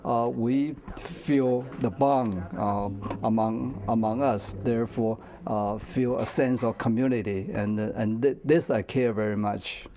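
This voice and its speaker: An older man, speaking haltingly with repeated words and grammar in an interview. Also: medium pitch, steady tone, dark tone. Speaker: senior center discussion group leader. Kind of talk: casual interview answers.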